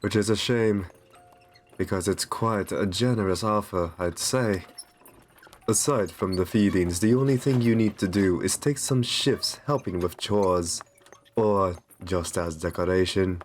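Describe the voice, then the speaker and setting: A man playing a courteous, possessive vampire master in an ASMR roleplay, soft-spoken, soothing and reassuring with genteel endearments. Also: deep voice, unhurried, a little hiss or hum. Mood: genteel, persuasive. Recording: music under the voice. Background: background music.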